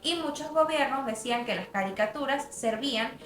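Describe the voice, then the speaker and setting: Young female narrator, high voice, scripted documentary read, roomy sound over music. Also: expressive, fairly quick, a bit bright. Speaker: student narrator.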